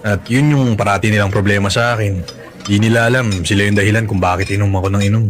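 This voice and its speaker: A man's voice: lowish voice, steady tone, fast, slight background noise, lightly acted. An actor playing a burdened father confiding in a coworker, casual and resigned, in a Filipino radio drama. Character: burdened male coworker, weary father hiding troubles. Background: background music.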